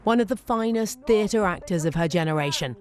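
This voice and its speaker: A woman's voice: medium pitch, expressive, a little fast, a little mellow. A British woman news reporter reading a scripted obituary narration.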